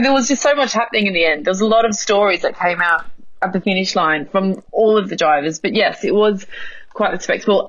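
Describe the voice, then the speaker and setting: A woman's voice: medium pitch, no echo, lo-fi sound. A woman with an Australian or New Zealand accent, co-hosting a motorsport chat in a friendly, chatty way. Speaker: co-host. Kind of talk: casual chat.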